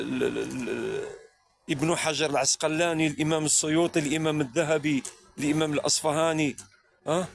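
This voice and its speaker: A man's voice: a little high, creaky, a little hiss or hum. Preachy man firing rhetorical questions. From France. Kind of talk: spontaneous religious monologue.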